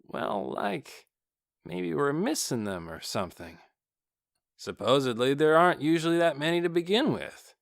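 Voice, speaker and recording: A chatty male night guard, voiced by a man reading an audiobook, gripes in a laid-back, colloquial way. His pitch moves around a lot, and the sound is dry and close. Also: animated, normal speed, vocal fry, no room sound. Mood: musing. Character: casual, chatty male night-watch guards, uneasy but joking. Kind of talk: audiobook character dialogue.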